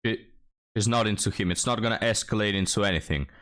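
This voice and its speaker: Brief: European man, reaction host, lower pitch, sarcastic commentary addressed to "guys". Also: quick pace, a bit bright. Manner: sarcastic, mocking asides to viewers.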